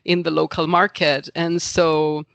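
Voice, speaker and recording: A man's voice: on the high side, flat delivery, a little slow, gravelly, fairly bright tone, dry recording, lo-fi sound. A middle-aged man from Costa Rica, a podcast guest, talking casually with many fillers and restarts. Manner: hesitant, many fillers and restarts, laughs often. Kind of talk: casual interview chat.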